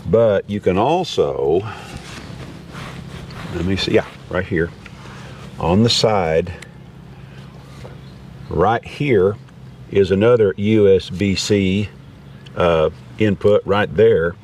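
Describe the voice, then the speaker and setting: Low-voiced man in a casual product demo. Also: takes it slowly, long pauses, darkish tone. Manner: folksy, rambling. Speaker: video host reviewing gear. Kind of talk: casual unscripted product demonstration. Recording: distant mic, background noise.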